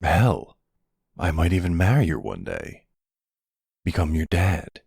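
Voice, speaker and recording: A man playing a smug, flirtatious middle-aged mafia boss in an ASMR roleplay drama, sweet-talking and gravelly. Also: low-pitched, sing-song, unhurried, a little mellow, dry recording, studio quality, heavily acted. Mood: smug, commanding.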